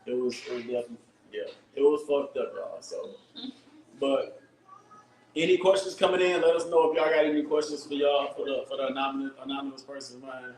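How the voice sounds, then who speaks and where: A man hosting a live stream, loud and profane, constantly talking to his viewers. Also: medium pitch, steady tone, fairly quick, echoey room, slight background noise, rough recording. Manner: loud, hyped, profane, keeps addressing viewers. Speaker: livestream host. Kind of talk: casual live-stream chat.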